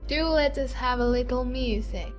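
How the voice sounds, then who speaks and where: A woman's voice: high-pitched, normal speed, lightly acted. A woman playing an affected, haughty, flirtatious Regency society lady in a dramatized audiobook, with a theatrical, genteel manner. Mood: pert, superior. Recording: music bed under the voice.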